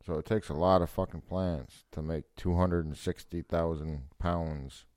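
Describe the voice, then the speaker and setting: A man's voice: low-pitched, steady tone, unhurried, darkish tone, no room sound, clean studio sound. A man co-hosting a podcast in casual chat, laid-back, laughing often, with curt replies. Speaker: podcast co-host.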